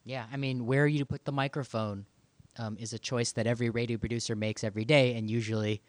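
Guy hosting a podcast, mid pitched, super dry close mic, kinda halting, thinks out loud. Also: steady tone, no echo. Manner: halting, thinking aloud with repeated words. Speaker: podcast host and audio producer. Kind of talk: casual conversational podcast talk.

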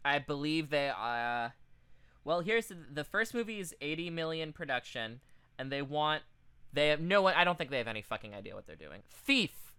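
Lively, bright-voiced man tossing out quips. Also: on the high side, moderate pace, smooth. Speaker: podcast co-host. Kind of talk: casual chat, reading out a list with quips.

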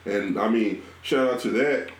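A man's voice: fairly low pitch, normal speed. A man co-hosting a podcast, talking to the guest in an earnest, motivational way. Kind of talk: casual chat. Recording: roomy echo.

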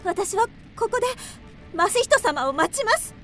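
A woman playing a gentle, steadfast young maiden in love, speaking softly in polite archaic phrases in a radio drama. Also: very high voice, very animated, moderate pace, soft background music, heavily acted. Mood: quiet resolve.

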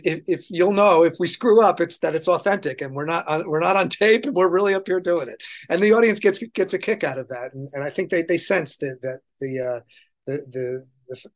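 A man who plays keyboards on tour, chatting casually and enthusiastically as an interview guest, telling anecdotes. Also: a little high.

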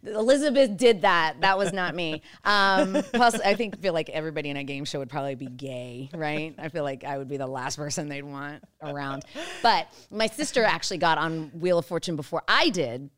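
A woman's voice: deep voice, fairly quick, hardly any pauses, fairly bright tone. A woman in her thirties in a casual chat, talking with lots of "like" and laughing at herself. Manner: chatty, self-mocking, laughs often, lots of "like".

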